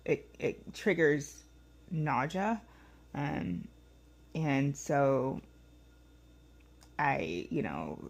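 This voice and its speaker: A woman in her thirties with a hoarse voice, rambling casually through a day-in-the-life vlog at home, with many ums and restarts. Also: low voice, a little mellow. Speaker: vlogger. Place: at home, kitchen and house.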